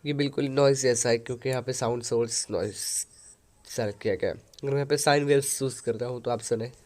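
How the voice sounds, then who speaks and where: A man hosting a software tutorial, explaining an audio editor step by step into a headset mic. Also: medium pitch, fairly even intonation, fast. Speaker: tutorial host.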